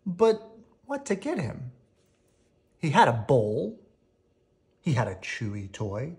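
Storybook reader, bedtime read-aloud: unhurried, lively intonation, theatrical and playful. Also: medium pitch. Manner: theatrical, with playful sound effects and pauses.

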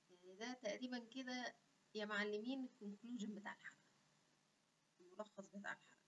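A young woman with an Egyptian Arabic accent hosting a casual health vlog, talking animatedly and chatty with asides, recorded on a webcam in a small room. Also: mid-range voice. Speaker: health channel host. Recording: dull lo-fi webcam audio.

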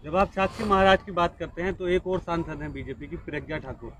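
A man's voice: on the high side, fast, a bit bright. A man, a reporter putting questions in an outdoor street interview. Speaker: news reporter asking questions.

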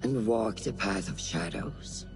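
A woman's voice: rumbling low, slow, rough recording. A middle-aged woman playing a stern, solemn female master of an assassin order in a game, with a ceremonial, commanding manner. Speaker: voice actor. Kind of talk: dramatic game cutscene acting. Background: music.

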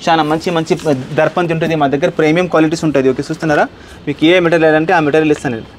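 A man in his thirties with a Hyderabad Telugu accent gives an unscripted furniture sales pitch, insistent and repetitive, peppered with English words. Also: little pitch movement, racing. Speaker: furniture shop owner and salesman. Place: large indoor furniture showroom. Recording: clear background room noise.

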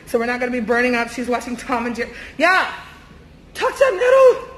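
A woman's voice: on the high side, very animated, brisk, a bit bright. A young woman vlogging as she walks through a buffet restaurant, talking in a chatty, rambling way with many fillers, holding the camera at arm's length. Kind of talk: casual vlog walkthrough. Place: busy indoor buffet restaurant. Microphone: handheld camera at arm's length. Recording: noisy restaurant ambience.

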